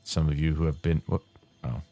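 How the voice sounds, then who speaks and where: A man casually rambling while playing a game, his throat dry and tired, with a calm, soothing way of talking. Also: a bass voice, a little fast, faint music behind. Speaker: gaming channel host. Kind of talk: casual rambling commentary over gameplay.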